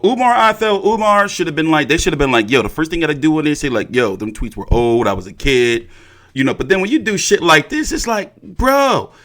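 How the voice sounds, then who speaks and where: A man's voice: on the high side, pushed and bright. A man hosting a boxing livestream in a casual, blunt and profane ranting style, often repeating his phrases.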